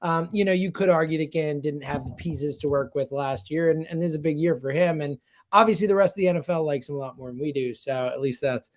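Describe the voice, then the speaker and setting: A man's voice: on the high side, fast. A fired-up man, a sports radio guest, giving emphatic, conversational commentary.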